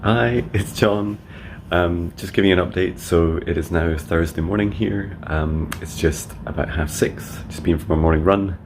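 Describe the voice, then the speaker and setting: A man, a psychic mentor, giving soft meditation messages and rambling video diary updates full of ums. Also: low voice, noisy background. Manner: soft, slow affirmations; chatty with many ums in updates.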